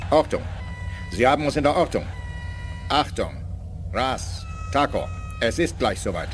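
An actor playing a tense space pilot giving clipped military orders in a science fiction radio play. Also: male voice, medium pitch, very animated, measured pace, a little hiss or hum. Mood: brisk, alert.

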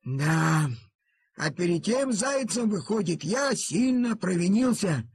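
An actor playing an old peasant grandfather in an audio play, with a husky, thin voice and folksy, emotional rural speech. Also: sits high, animated, slow, dry recording, clean studio sound, exaggerated voice. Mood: remorseful. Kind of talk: audio play character acting.

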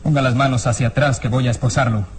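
An actor playing a stern, suspicious armed police inspector in an old radio serial, barking orders. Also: male voice, mid-range voice, fairly even intonation, fast talker, close to an everyday voice. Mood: accusing.

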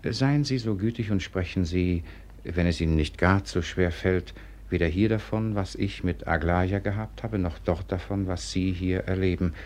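A man playing an irritable, proud young Russian gentleman in a 1950s German radio drama, agitated and sharp-tongued. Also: low voice, normal speed, natural voice. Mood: tense, grudging.

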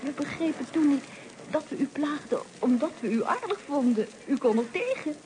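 A woman's voice: high voice, expressive, moderate pace, a little mellow. An actress playing a candid, teasing married woman and former school troublemaker in a radio drama. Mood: sincere, fond. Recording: slightly noisy old radio play.

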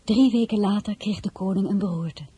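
An actress playing an impulsive, homesick young crown princess in a radio drama, with a husky, breathy voice. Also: female voice, mid-range voice, brisk, warm tone, dry recording. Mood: narrating. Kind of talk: radio drama acting with first-person narration.

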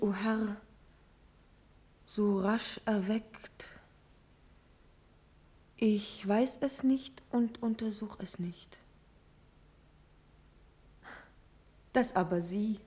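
Slow-spoken, passionate young princess. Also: female voice, on the high side, mellow. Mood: subdued, grateful. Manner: declamatory, solemn, measured. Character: noble young princess, passionate, pleading, proud and eloquent. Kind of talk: classical German verse radio drama acting.

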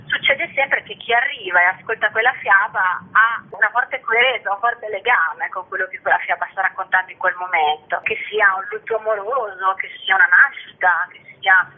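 Festival director, female, husky edge, explanatory and discursive, on a narrow telephone line. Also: mid-range voice. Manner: articulate, discursive, long flowing explanations. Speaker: festival artistic director, interview guest. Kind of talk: spoken interview, explanatory.

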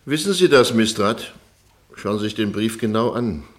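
An actor playing a stern, formal judge presiding over a trial in a German radio drama, speaking with authority. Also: male voice, on the low side, animated, natural voice. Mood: authoritative. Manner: formal, authoritative, measured wording.

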